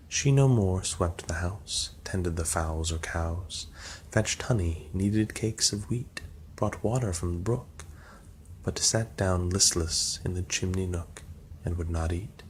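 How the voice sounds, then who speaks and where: A man narrating a poem aloud as an ASMR reading. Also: deep voice. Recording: clear background noise.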